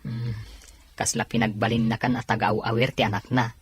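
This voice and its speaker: Man acting a very husky husband. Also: fairly low pitch, flat delivery, a little fast. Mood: questioning. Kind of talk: dramatized audio story acting, conversational.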